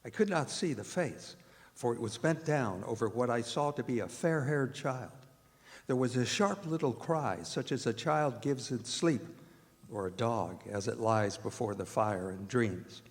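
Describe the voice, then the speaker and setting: A man playing a haunted doctor who narrates a horror radio drama in a grave, measured way. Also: mid-range voice, natural voice. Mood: haunted, dread. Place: performing arts center stage. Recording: live hall recording with long reverb.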